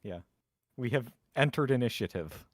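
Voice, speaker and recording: A man's voice: mid-range voice, expressive, moderate pace, dry recording, clean studio sound. A man talking casually as a player in a tabletop roleplaying game.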